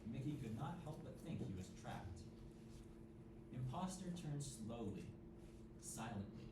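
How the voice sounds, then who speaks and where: A man's voice: medium pitch, very animated, unhurried. A young man reading story narration as an amateur volunteer, recorded from a distance in a very noisy, echoey room. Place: indoor workshop room.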